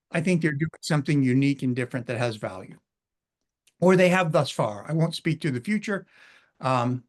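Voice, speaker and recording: A middle-aged man hosting a webinar in a casual, chatty way, full of informal asides. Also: fairly high pitch. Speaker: webinar host.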